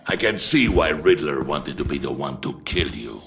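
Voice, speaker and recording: An actor playing a hulking, proud mercenary warrior villain in a video game, gravelly and menacing, with theatrical, taunting speech. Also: male voice, rumbling low, expressive, a bit bright, a little hiss or hum, exaggerated voice. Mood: boastful. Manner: theatrical, taunting, grandly deliberate. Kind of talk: video game character acting.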